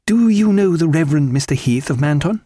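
A man voicing a polite, composed, educated gentleman passenger with formal, courteous speech in an audiobook sea story. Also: on the high side, animated, a little fast, dry recording, studio quality, voice pushed up.

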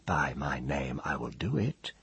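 A man voicing a proud, self-assured young sorcerer with gentle mockery in a fantasy audiobook. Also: low voice, big pitch swings, normal speed, creaky, husky, no echo, heavily acted. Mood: quiet defiance. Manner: soft-spoken, mocking, self-assured. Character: proud, coolly mocking young boy sorcerer. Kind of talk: dramatized audiobook fantasy storytelling.

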